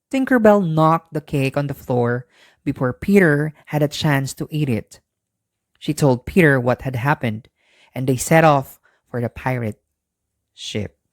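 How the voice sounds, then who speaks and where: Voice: medium pitch, dry recording, studio quality. A storyteller narrating a scripted bedtime story for children.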